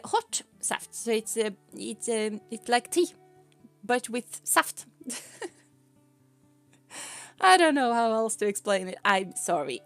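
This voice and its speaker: A woman with a Swedish accent, a native Swedish speaker, chatting casually on a stream, rambling and giggling as she talks. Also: a little high. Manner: rambling and giggly, often laughing mid-sentence. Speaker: VTuber streamer.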